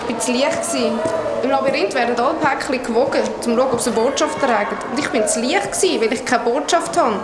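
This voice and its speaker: A woman's voice: sits high, fast talker, close to an everyday voice. A woman voicing a young talking parcel on the run, anxious and stammering, in a Swiss German children's radio play. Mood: anxious, explaining. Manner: hesitant, stammering, urgent. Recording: roomy studio with loud music underneath.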